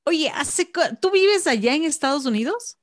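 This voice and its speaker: A woman's voice: high-pitched, expressive, normal speed, dry recording. A woman radio host with a studio microphone, interviewing a guest in an upbeat, enthusiastic way. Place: radio studio with branded backdrop. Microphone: studio microphone on stand.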